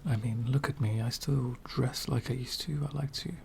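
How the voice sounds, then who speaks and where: A man playing an elegant, centuries-old vampire gentleman, speaking softly and half whispering in a refined, wistful ASMR roleplay. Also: mid-range voice, steady tone, slight background noise, natural voice. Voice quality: soft, breathy whispering. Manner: refined, wistful, slow and deliberate.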